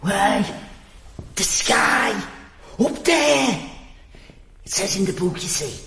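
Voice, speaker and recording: An actor playing an excitable, devout, childlike drifter who speaks with simple, eager, scripture-quoting fervour in a radio drama. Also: male voice, sits high, slow, gravelly, raised voice, background noise, heavily acted. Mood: fervent, awed. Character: excitable, devout, childlike former hospital patient living rough. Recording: very echoey, roomy space.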